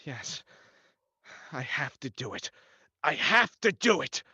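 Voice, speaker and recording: A man's voice: fairly high pitch, big pitch swings, slow pace, pushed and bright, breathy edge, dry recording, heavily acted. Tense, nervous accomplice played by a man. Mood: anguished. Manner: anxious, melodramatic, hesitant. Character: nervous, guilt-ridden, weak-willed male accomplice. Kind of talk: 1940s radio horror acting.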